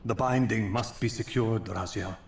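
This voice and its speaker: A male actor playing an ancient, benevolent vampire mentor with solemn, measured, formal speech in a fantasy game. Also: on the low side, vocal fry, a little hiss or hum. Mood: solemn, gentle. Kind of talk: dramatic fantasy game cutscene acting. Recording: echoing chamber, some background ambience.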